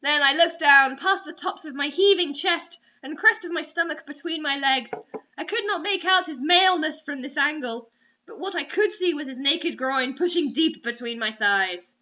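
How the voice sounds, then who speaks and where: A woman's voice: sits high, normal speed, sharp tone. A woman reading a story dramatically as a host, breaking in with sarcastic, mocking asides. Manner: theatrical, sarcastic. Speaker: host reading bad online fiction aloud. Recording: dull lo-fi recording.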